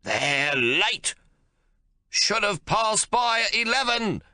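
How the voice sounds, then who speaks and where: A man voicing an irascible, half-blind very old man who shouts bluntly. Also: high-pitched, very animated, slow pace, no room sound, clean studio sound, voice pushed up, exaggerated voice. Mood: irate.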